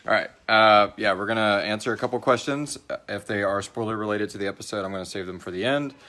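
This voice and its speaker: A man's voice: on the low side, quick pace, pushed and bright. Easygoing man hosting a casual group chat. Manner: informal, rambling, sweary, easily amused. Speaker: host of a live question-and-answer special for an audio drama. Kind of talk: casual chat, reading out listener questions. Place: live stream with a group of castmates in the room.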